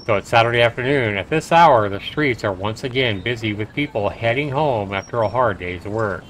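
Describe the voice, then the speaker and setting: Low-pitched man streaming a story game. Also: moderate pace, fairly bright tone, poor audio. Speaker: streamer and host. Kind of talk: game livestream, reading narration aloud with commentary.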